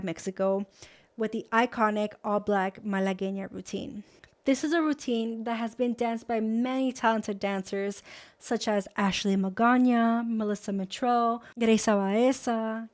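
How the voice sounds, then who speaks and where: A woman in her twenties from Los Angeles, hosting and introducing herself in a chatty, upbeat way with a few Spanish words mixed in. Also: mid-range voice. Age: 20s. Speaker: host. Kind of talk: friendly scripted video introduction.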